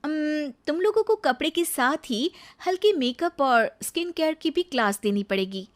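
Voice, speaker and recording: A woman plays an eager young daughter-in-law for a cartoon story. Her voice sits high and bright with earnest feeling. She sounds determined and expressive, a city-bred woman set on her plans. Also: moderate pace. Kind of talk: Hindi cartoon story dialogue.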